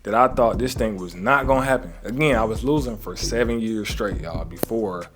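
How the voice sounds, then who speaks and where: A man's voice: on the low side, normal speed. A man coaching traders in a motivational talk, earnest and conversational. He preaches gratitude, refers to his faith and often checks in with "right?". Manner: conversational, often adds "right?" and "you know what I'm saying?". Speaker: trading coach.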